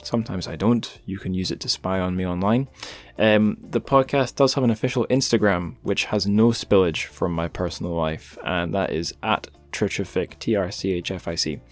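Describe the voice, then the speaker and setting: Low-voiced relaxed male podcast host. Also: normal speed, few pauses, faint music behind. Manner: relaxed, rambling, light joking. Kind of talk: casual podcast chat and plugs.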